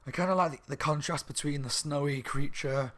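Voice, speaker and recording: A slightly hoarse man reviewing a book in a rambling, casual chat at home, with lots of ums and restarts. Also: fairly high pitch, fairly quick, fairly bright tone. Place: room at home by a window on a main street.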